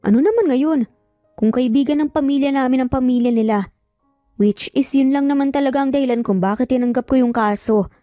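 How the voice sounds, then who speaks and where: A woman's voice: sits high, a little fast, mellow, dry recording, clean studio sound, faint music behind, lightly acted. A woman voicing an earnest, determined lovelorn male lawyer in an audio story, speaking emphatically and idealistically. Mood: firm, defensive.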